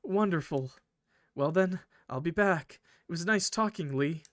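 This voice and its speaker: A man's voice: fairly high pitch, a little slow, dry recording, clean studio sound, close to an everyday voice. A man voicing a polite, solicitous, subtly creepy young male train conductor in a visual novel. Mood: courteous.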